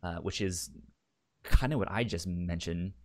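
A man's voice: low-pitched, a little slow. A man co-hosting a book club podcast, chatting casually and often stammering on the first sound of words. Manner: stammers on word starts, repeats words. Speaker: podcast co-host.

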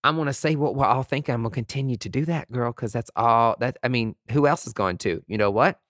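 A man with a medium-pitched voice speaks as a candid motivational podcaster. He is sassy and emphatic, chatting casually with camp slang and the odd swear word. The sound is dry, with no room echo. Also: quick pace, a bit bright. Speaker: podcast speaker, motivational aesthetics-industry personality.

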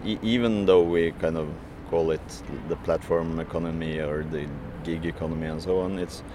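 Man, very low voice, hesitant, lots of fillers, food courier being interviewed, street noise behind. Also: steady tone, moderate pace. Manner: hesitant, many fillers and restarts. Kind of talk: interview answers.

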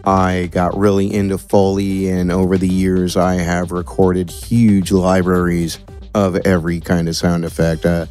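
Casting: American male with a deep voice, podcast guest explaining technical work, light music underneath. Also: flat delivery, a little slow, a little mellow. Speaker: audio producer and sound designer, podcast guest. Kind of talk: interview answers, explanatory talk.

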